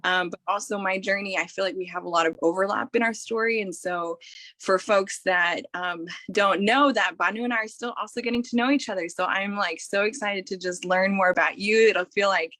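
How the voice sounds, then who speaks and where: An American woman hosts a casual interview with a bright, forward voice at a medium pitch. She talks informally and with enthusiasm, recorded close and dry. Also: fairly even intonation, a little fast, no echo. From North Carolina, United States. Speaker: interview host. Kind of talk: casual, friendly chat.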